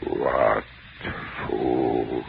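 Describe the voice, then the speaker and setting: An actor playing an arrogant, menacing alien mind from a dying world, in 1940s radio horror style, with slow, contemptuous delivery. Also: male voice, a bass voice, flat delivery, creaky, heavily acted. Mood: hungry, menacing. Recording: muffled, faintly noisy 1940s radio recording.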